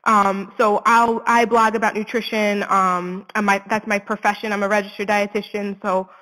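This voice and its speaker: A woman's voice: mid-range voice, level tone, normal speed, a bit bright, long reverb tail, rough recording. A woman in her twenties speaking earnestly and conversationally as an interview guest, in candid, informal talk. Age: 20s. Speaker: interview guest, suicide prevention advocate.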